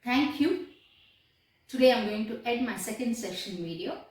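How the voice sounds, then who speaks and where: High-voiced woman teaching, very echoey. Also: lively intonation, a little mellow. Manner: deliberate, repeats phrases for emphasis. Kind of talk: lesson retelling a play. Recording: echoey room, distant microphone.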